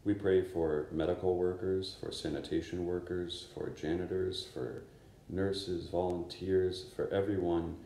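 A man in his fifties, a priest, reading the Gospel and giving a conversational, unhurried homily in a small chapel. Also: deep voice, level tone, dark tone, roomy sound. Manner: conversational, unhurried preaching with gestures. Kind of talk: homily at daily Mass. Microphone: camera in front of him.

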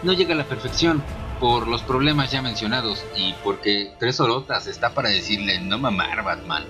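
A man's voice: medium pitch, normal speed, music in the background. A Mexican man giving an informal scripted film review in a sarcastic, slangy, opinionated way. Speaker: video reviewer.

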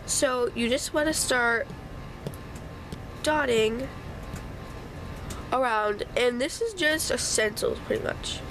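A woman's voice: fairly high pitch, takes it slowly, long pauses, over music, poor audio. A woman with a congested, stuffy nose chatting casually through a home craft tutorial, with apologetic asides as she works. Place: home craft table, indoors. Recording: faint sump pump hum behind.

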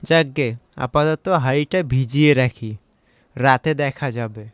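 A man playing a poor bachelor office worker in an animated folk tale, exclaiming, sighing and muttering to himself. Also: mid-range voice, unhurried, smooth, dry recording, natural voice. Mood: hungry, grumbling. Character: Sharif, grumbling poor bachelor office worker, kind-hearted.

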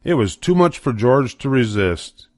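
A man's voice: medium pitch, sing-song, a little slow, a little mellow, no echo, clean studio sound. An older man narrating a children's storybook as its reader.

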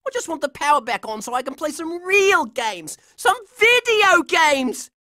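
A grumpy little boy from a cartoon game. The whiny voice is high and forceful, with lively jumps in pitch as it bluntly complains. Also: moderate pace, no room sound, clean studio sound, full character voice. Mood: exasperated. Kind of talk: cartoon video game voice acting.

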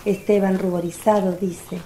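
A woman's voice: medium pitch, flat delivery, moderate pace, mellow, slight background noise. A woman narrating a scripted religious radio drama.

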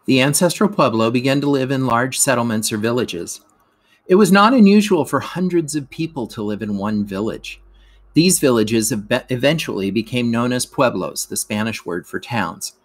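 A man reading a scripted educational narration aloud like a teacher, in a measured, explanatory way. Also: medium pitch, fairly bright tone.

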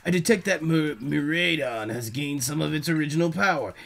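A man reading aloud the lines of a kindly male professor from a video game, in a measured, earnest delivery. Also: a little high, creaky, a bit bright, soft background music.

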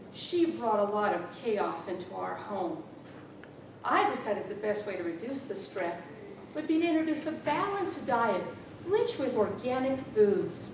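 A middle-aged woman giving a humorous tall-tale speech with theatrical, deliberate delivery and dramatic emphasis. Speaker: contest speaker. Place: small meeting room with rows of chairs. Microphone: far camera. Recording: echoey room from far off, noisy rumble.

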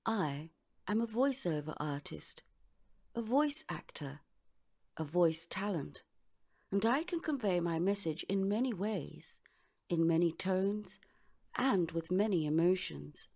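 A woman voice-over artist delivering a scripted dramatic monologue in a deliberate, theatrical manner with measured pauses. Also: fairly low pitch, expressive, darkish tone.

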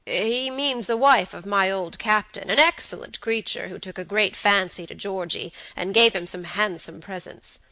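Lady doing a whiny self-pitying old grandpa for an audiobook, apologetic, kind of sharp voice. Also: mid-range voice, moderate pace, hardly any pauses, no echo, low-quality recording. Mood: placating. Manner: plaintive, murmuring, repeats himself.

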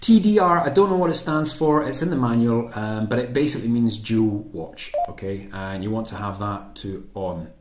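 Low-voiced British man in an echoey room. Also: moderate pace, few pauses, background noise. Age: 30s to 40s. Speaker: host of a how-to sailing channel. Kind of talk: casual unscripted tutorial. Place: small home kitchen or room, indoors. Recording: roomy, echoey indoor sound.

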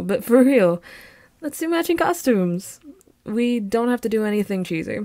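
Woman performing a loving young girlfriend, roleplay audio, teasing, excitable, slow-paced, close and dry recording. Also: on the low side, no room sound. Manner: bubbly, teasing, giggly, pleading.

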